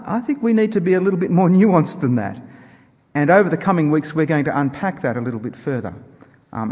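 A man preaching informally to a congregation, explaining in a measured way with emphatic pauses. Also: on the high side, darkish tone. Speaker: preacher introducing a Bible reading. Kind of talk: informal sermon introduction to a congregation. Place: church hall before a congregation. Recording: echoey hall with long reverb.

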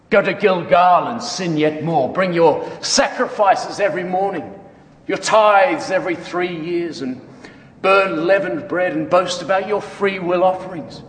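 A man's voice: high voice, a little slow. A man giving an emphatic, declamatory dramatic reading of scripture as a church member. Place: large reverberant room. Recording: distant, echoey hall with long reverb.

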